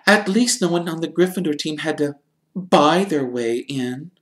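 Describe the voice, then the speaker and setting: A man reading an audiobook, voicing an earnest, clever schoolgirl in a high, sharp, shrill voice. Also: moderate pace, close to an everyday voice.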